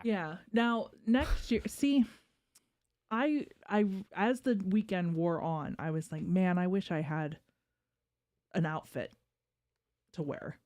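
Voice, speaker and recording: A woman's voice: fairly low pitch, slow pace, pauses often, a little mellow, no room sound, studio quality. Emphatic woman bantering on a podcast. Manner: emphatic, playfully argumentative. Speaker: podcast co-host. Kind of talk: casual banter.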